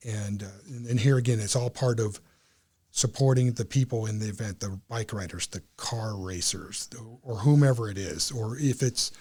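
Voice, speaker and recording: A man's voice: on the low side, moderate pace, a little mellow, breathy edge. A man speaking as a club member and guest in a casual interview, explaining things earnestly.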